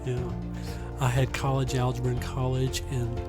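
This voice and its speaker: A man's voice: medium pitch, completely flat delivery, loud music behind the voice. A man hosting a podcast interview, folksy and joking, sometimes drawing out his words. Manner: folksy and joking, drawn-out delivery. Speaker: university president and podcast host.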